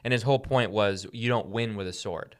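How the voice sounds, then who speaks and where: A man's voice: lowish voice, fairly quick. A man co-hosting a podcast, casually talking through Bible passages in an explanatory way and repeating phrases for emphasis. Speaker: podcast co-host.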